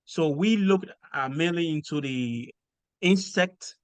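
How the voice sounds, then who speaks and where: A man from Nigeria with a Nigerian accent, explaining things in a measured way with frequent pauses as a podcast guest. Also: on the high side, clean tone, no room sound. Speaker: guest researcher. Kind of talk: podcast interview.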